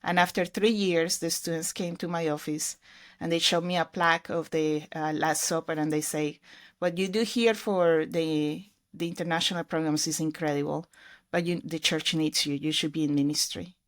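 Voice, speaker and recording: Middle-aged woman guest, english, low-ish voice, earnest and reflective. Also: fairly even intonation, moderate pace. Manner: earnest, reflective storytelling with fillers. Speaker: podcast guest, ministry leader. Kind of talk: conversational interview.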